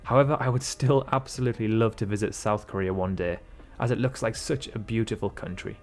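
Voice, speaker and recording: A man's voice: on the low side, fairly quick. A man narrating a scripted country comparison in an upbeat, emphatic way. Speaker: video narrator. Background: faint background music.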